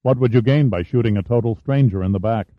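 A man's voice: mid-range voice, fast, dry recording, close to an everyday voice. A man doing a wry, offhand adventurer. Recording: dull, dry studio sound.

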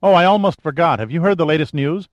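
A male narrator voicing a brisk, wisecracking young woman secretary on a phone call. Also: on the high side, no echo, voice pushed up, lightly acted. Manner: wisecracking, quick, efficient.

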